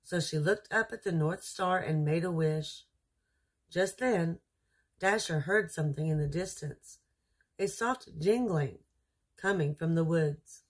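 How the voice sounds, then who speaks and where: A woman narrates a children's storybook at a slow, measured pace. Her voice sits slightly low and has a husky softness. She reads expressively. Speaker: storyteller reading aloud.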